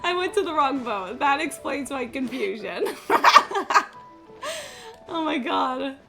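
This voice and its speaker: A woman livestreaming a game, with a fairly high, very animated voice. She thinks aloud in casual, sweary commentary while music plays clearly behind her. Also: slow. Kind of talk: casual live gameplay commentary.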